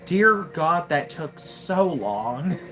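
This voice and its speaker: A woman's voice: low voice, big pitch swings, extremely slow, a bit bright, soft background music. A woman in her twenties casually commenting on her gameplay during a live stream, wry and joking, often exclaiming to herself. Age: 20s.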